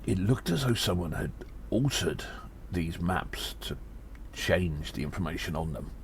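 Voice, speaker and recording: A very deep, slow-talking gnome sleuth, acted by a man, weary and grave as he ponders a conspiracy in an audio drama. He speaks in deliberate, halting phrases with sighing breaths, over faint background noise. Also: animated. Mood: grave, pondering. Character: weary gnome investigator reporting on a spy conspiracy.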